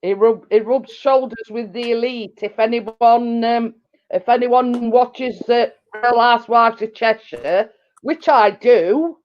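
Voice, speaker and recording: A woman chats slowly with friends, her voice sitting a little high. She laughs often and trips over her words. The sound is dull and lo-fi. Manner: giggly, laughs until tearful, stumbles over words. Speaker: host. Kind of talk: casual chat with friends.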